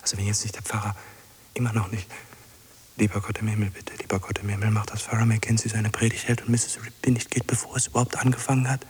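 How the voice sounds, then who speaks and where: Ein Schauspieler spielt in einem deutschen Hörspiel einen einsamen, obsessiven, ängstlich flehenden Mann, heiser und oft flüsternd, der in kurzen Wiederholungen mit sich selbst redet. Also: male voice, fairly low pitch, level tone, fast, a little mellow. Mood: pleading. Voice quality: husky, often dropping into whispers. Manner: muttering to himself in short repeated phrases. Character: lonely, obsessive man, anxious and pleading. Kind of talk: German radio drama acting.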